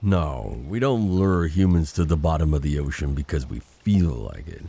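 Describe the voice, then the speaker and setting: Man playing a very deep, ruined-voiced siren. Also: lively intonation, moderate pace, warm tone, full character voice. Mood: grim, resentful. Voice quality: damaged, gravelly, husky voice. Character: vengeful wounded male siren, grimly mocking. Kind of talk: dramatic audio roleplay.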